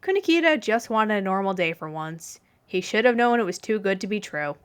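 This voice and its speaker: A woman reading fan fiction aloud as an expressive scripted narration. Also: medium pitch, brisk, clear, resonant tone. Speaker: podfic reader.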